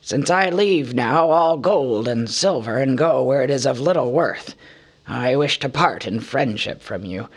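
A man reading an audiobook, voicing a proud male dwarf king who is wounded and weak, speaking hushed, slow and solemn. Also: medium pitch, lively intonation, breathy edge. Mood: solemn farewell. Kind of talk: audiobook character voice.

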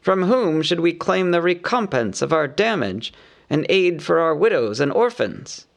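A man reading the lines of a cunning old male town master, arguing in loud rhetorical questions with a gravelly voice. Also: a little high, very animated, moderate pace, pitched above the performer's own voice. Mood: indignant. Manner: indignant, rhetorical oratory.

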